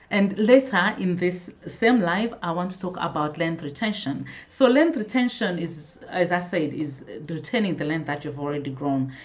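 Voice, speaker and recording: A woman hosting a casual live stream, chatting to viewers, greeting them by name and often restarting her sentences. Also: lowish voice. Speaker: hair care vlogger hosting a live stream.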